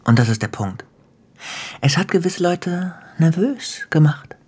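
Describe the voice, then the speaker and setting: An actor playing a menacing, sardonic gangster boss in a radio drama, taunting and playful, slipping into breathy whispers. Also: male voice, fairly high pitch, animated, a little slow, a little mellow. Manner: taunting, theatrical, dropping into whispers. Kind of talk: German radio drama acting.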